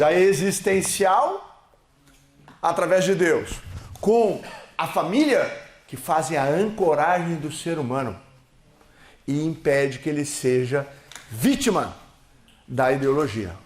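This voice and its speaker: A man's voice: on the high side, expressive, slow pace. A man with a Brazilian Portuguese accent, giving an emphatic political seminar speech with slides, his voice swelling and dropping. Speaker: seminar speaker. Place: lecture hall. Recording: echoey hall.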